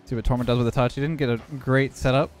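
Hoarse man calmly commentating his gameplay. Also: medium pitch, rapid-fire, faint music behind. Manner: relaxed running commentary on his own plays. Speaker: gaming YouTuber.

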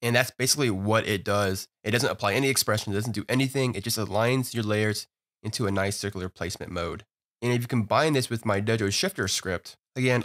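A man with a fairly low voice talks a viewer through a screen-recorded software tutorial. His delivery is relaxed and upbeat, with frequent "you know" and "uh" as he clicks through each step.

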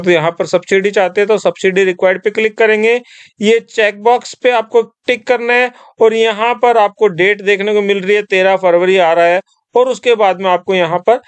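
A man with a high, clear voice walks the listener through an online application one step at a time. He keeps it instructive and calls his viewers friends. The sound is dry and close, recorded at a desk mic in a quiet room. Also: dry recording. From Rajasthan. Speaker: tutorial presenter. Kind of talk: screen-recorded tutorial voice-over.

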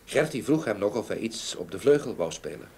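Low-voiced, haunted grieving gentleman. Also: very animated, brisk. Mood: reminiscing. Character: grieving, haunted older gentleman seeking revenge for murdered niece. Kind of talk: Dutch radio play acting. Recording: old radio play recording, background noise.

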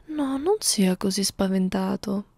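A playful, menacing young vampire acted out by a woman in an intimate ASMR roleplay. She drifts between whispers and soft speech at an unhurried pace, haughty and condescending toward her prey. The sound is close and very dry. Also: medium pitch, lively intonation, vocal fry, dry recording. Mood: amused, disdainful. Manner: slow, teasing, condescending, menacing. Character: young female vampire, 342 years old, playful, predatory, haughty. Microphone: close ASMR microphone.

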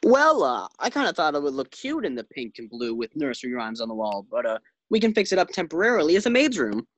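A woman's voice: sits low, sing-song, fast, dry recording. A woman playing a distracted, affable husband with hesitant delivery in an old-time radio sitcom. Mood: sheepish. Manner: hesitant, absent-minded. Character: distracted, affable husband reading his morning paper.

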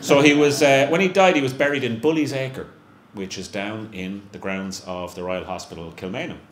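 A man's voice: fairly low pitch, moderate pace. A middle-aged Irish man chatting on stage at a live event, self-mocking and full of restarts. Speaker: brewery representative, guest. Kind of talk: casual onstage chat. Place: small live venue room with stage. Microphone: handheld mic on stand. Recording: echoey room, faint hum.

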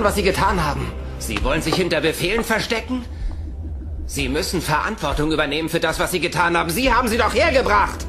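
A man playing an emotional, protective human captive in a science fiction audio drama, with an intense delivery. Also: on the high side. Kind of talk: German audio drama acting.

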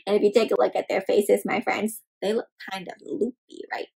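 A young woman reading a picture book aloud to children, animated and acting out the characters while asking the kids questions. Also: mid-range voice, a little fast, gravelly, slightly rough. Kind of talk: interactive read-aloud for kids. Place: home room with decorated wall.

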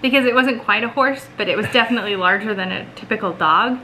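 A woman's voice: medium pitch, quick pace, pushed and bright, slight background noise, low-quality recording. A woman in her thirties chatting at home and telling a story. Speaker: vlog co-host. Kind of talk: casual chat. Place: living room at home, indoors.

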